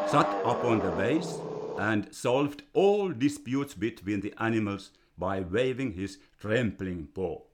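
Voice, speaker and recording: An older man from Finland with a Finnish accent, slowly reading a fairy tale he wrote for his grandchildren. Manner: slow and deliberate, with a lively, storybook pitch. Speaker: storyteller reading his own children's book.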